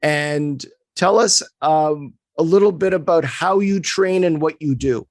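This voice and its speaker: A middle-aged man hosting a podcast, asking a guest questions in a measured, friendly way. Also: fairly high pitch, dry recording, clean studio sound. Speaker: podcast host and player agent. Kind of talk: interview questions.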